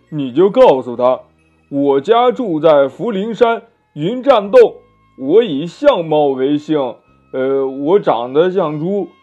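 A man voicing a boastful but cowardly male pig demon in a storybook tale, stammering and blustering with sneering chuckles. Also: fairly high pitch. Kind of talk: children's storybook character voice. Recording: muffled lo-fi audio with music behind.

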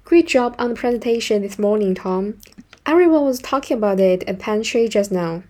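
A woman's voice: medium pitch, animated, normal speed, mellow. A woman working as an English teacher, narrating a scripted language lesson and modelling example phrases in an instructional way.

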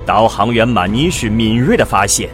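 A man's voice: on the low side, lively intonation, normal speed. A man narrating a scripted science fiction radio drama in a dramatic storytelling style. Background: loud ominous music.

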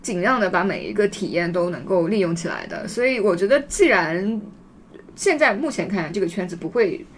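Fast-talking woman hosting a casual chat. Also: mid-range voice, very animated. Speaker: podcast host. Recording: faint background noise.

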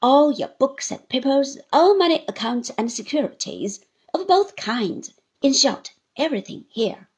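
A woman reading aloud, voicing a calm, practical young lawyer who speaks in a measured, firm way. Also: high voice.

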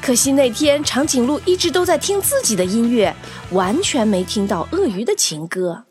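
A woman narrating a children's story in an expressive, animated scripted style, acting out the tale. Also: a little high, moderate pace, faint music behind.

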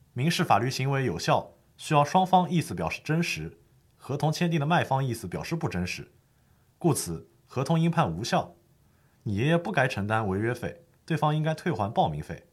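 A man's voice: mid-range voice, breathy edge. A man playing a patient lawyer who explains the law formally and methodically in a scripted educational audio drama.

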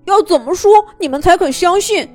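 A woman's voice: very high-pitched, fairly quick, a little mellow, soft background music, voice pushed up. Woman voicing a frantic little bear cub. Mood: urgent pleading. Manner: urgent, pleading. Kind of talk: children's storybook reading.

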